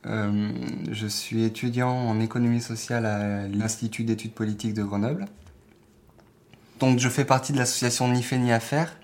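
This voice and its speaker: A man's voice: medium pitch, fairly even intonation, moderate pace, a little mellow. A man in his twenties, a student, speaking hesitantly in an interview and repeating words. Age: 20s.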